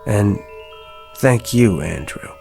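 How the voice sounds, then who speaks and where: A man's voice: lowish voice, expressive, slow, gravelly, darkish tone, soft background music, exaggerated voice. An actor with an American accent playing a slick, boastful, pushy man on the make in a radio drama, speaking with smug insistence. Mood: smooth, persuasive.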